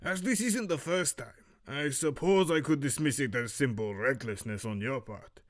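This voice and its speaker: A man voicing a stern master archery instructor with a muddled, Romanian-like Eastern European accent, lecturing in a measured, severe way for an audio drama. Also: mid-range voice, close to an everyday voice. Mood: stern, admonishing. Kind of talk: fan audio drama character acting.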